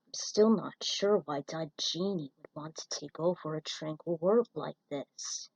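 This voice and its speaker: A woman's voice: low voice, measured pace, gravelly, darkish tone, dry recording, far from the mic, voice pushed down, heavily acted, low-quality recording. A woman acting out an earnest young man, a space racer and bounty hunter in a storybook. He speaks in a reflective, wistful manner, with a dry sound. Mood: puzzled. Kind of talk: story character dialogue.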